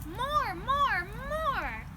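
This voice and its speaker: A woman's voice: very high-pitched, very animated, drawn-out words, very noisy, pitched above the performer's own voice, heavily acted. A woman voicing a greedy, demanding old peasant woman in a puppet show, urgent and insistent.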